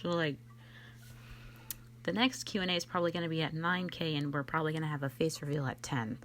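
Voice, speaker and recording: A woman's voice: deep voice, fairly even intonation, quick pace, a bit bright, slight background noise. A young woman hosting a casual question-and-answer chat, giggly, rambling and playfully self-mocking. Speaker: online channel host and amateur voice actor.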